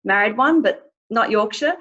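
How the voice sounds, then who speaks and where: A woman speaks earnestly as a panelist in a video call discussion, her voice set a little high. The sound is muffled and dull, like a laptop call. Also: expressive, moderate pace, a bit bright. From outside the UK.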